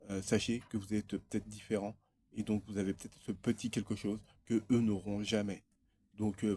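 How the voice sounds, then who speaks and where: A man's voice: on the low side, dark tone, slight background noise. A man in his thirties from France, talking in a rambling, hesitant personal monologue full of fillers and restarts. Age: 30s. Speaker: vlogger.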